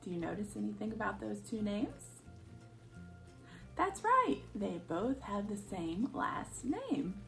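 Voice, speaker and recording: A woman's voice: mid-range voice, vocal fry, far from the mic. A woman reading a picture book aloud for young children, expressively and with questions to her listeners. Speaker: children's storyteller at a church.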